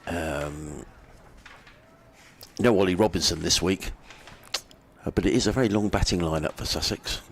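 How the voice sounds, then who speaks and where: A middle-aged man with a very deep voice commentates on cricket, friendly and meandering, drifting from the play into chatty asides. His delivery is relaxed and conversational. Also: normal speed, creaky, pauses often, a little hiss or hum. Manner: rambling, friendly, lots of fillers and restarts.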